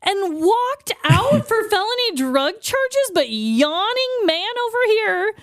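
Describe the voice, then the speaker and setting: Woman podcast cohost, chatty storyteller, swoopy lively voice, dry close sound. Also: very high voice, slow, no room sound. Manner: animated storyteller, laughs often. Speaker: podcast co-host. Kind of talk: casual true-crime podcast chat.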